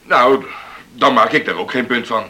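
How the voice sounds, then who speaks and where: A man acting in a Dutch radio drama as a gruff, stubborn, blunt lifeboat skipper who is good-hearted underneath. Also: mid-range voice, moderate pace, sharp tone. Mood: surprised, gruff.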